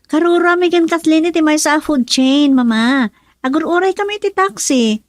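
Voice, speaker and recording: Woman as girlish schoolgirl daughter, Filipino accent, very high voice, radio drama acting, dry sound. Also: normal speed, no echo, close to an everyday voice. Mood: hurried, chatty.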